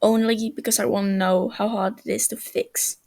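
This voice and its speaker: A woman's voice: medium pitch, level tone, moderate pace, a little mellow, lightly acted. A woman voicing a smug, overdramatic, grumbly young boy healer in a story reading. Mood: grudging. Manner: cheeky, overdramatic, sweary.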